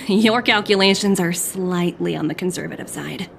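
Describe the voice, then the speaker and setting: A woman's voice: fairly low pitch, a little slow, gravelly, slight background noise. A woman playing a shrewd, self-assured young corporate executive in a video game, speaking with polish. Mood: cool, confident.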